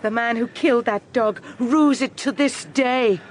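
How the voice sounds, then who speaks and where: An actress playing a fierce, grieving old witch in a period audio drama, speaking with slow, tormented intensity. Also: a little high, slight background noise, close to an everyday voice. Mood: grim, menacing.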